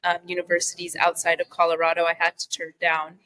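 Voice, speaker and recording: A woman's voice: on the low side, quick pace, poor audio. An American woman in her thirties, a professional footballer talking casually as a guest on an interview show, with lots of "you know" and "um". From United States. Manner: relaxed, many fillers like "you know" and "um".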